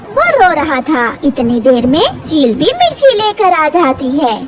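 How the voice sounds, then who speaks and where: A woman narrating a children's story in a scripted storybook style. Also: high-pitched.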